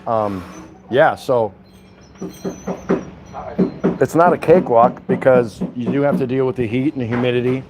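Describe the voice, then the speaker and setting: A man's voice: mid-range voice, normal speed, pauses often. Rambling casual man vlogging, noisy surroundings. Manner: rambling, folksy, slangy. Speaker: vlogger livestreaming a walk. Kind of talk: casual chat to viewers. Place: on a walk around a city, stopping at a convenience store. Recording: street and vehicle noise behind. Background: traffic and ambient noise.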